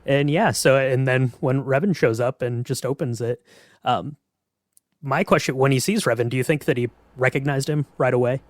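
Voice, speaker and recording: A man's voice: medium pitch, moderate pace, no room sound. Man in casual chat, close dry sound. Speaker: podcast host. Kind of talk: casual chat about game lore.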